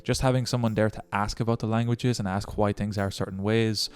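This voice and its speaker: A man's voice: fairly low pitch, steady tone, fairly quick, darkish tone, clean tone. A young man giving encouraging language-learning tips in a casual vlog, talking straight to the viewer. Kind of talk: casual tutorial vlog talk.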